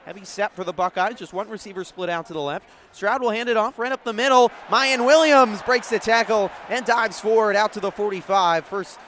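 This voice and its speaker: High-pitched American man calling play-by-play. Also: a little hiss or hum. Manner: measured delivery rising to excited calls. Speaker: play-by-play sports announcer. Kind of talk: sports radio and TV play-by-play commentary.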